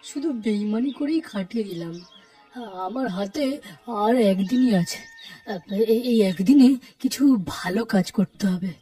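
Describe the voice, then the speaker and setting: A woman's voice: medium pitch, animated, normal speed, mellow, faint music behind, lightly acted. A woman voicing a sly, dishonest village farmer man in a cartoon, wheedling and stammering in false innocence. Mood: regretful. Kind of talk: cartoon character acting.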